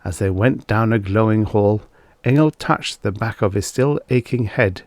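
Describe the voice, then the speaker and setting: A man reading a story aloud as an audiobook narrator, in a measured, deliberate manner. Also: on the low side, no echo.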